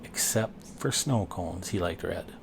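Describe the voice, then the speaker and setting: A man reading a children's picture book aloud, expressively and with deliberate pauses. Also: deep voice, animated, gravelly, background noise. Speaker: storybook reader and dad.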